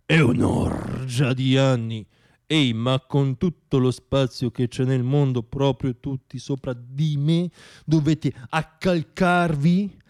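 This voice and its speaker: A man performing a theatrical radio monologue in an expressive, declamatory way, moving between poetic reflection and wry irony. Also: medium pitch, slow pace.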